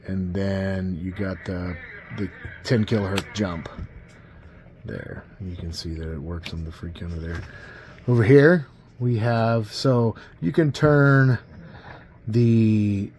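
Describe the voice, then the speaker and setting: A man with a fairly low voice walks through equipment in a casual, hands-on way. He is informal and points out one thing after another. He is recorded indoors at a workbench, with clear background noise in the room. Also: slow pace, pauses often, a little mellow. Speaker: CB radio enthusiast demonstrating gear. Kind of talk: casual hands-on product demo. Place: indoors at a radio bench.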